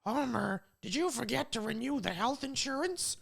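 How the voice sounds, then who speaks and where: A man doing an impression of a middle-aged cartoon mother, earnest and worried, with a raspy, croaky voice. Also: very high-pitched, lively intonation, moderate pace, fairly bright tone, voice pushed up, full character voice.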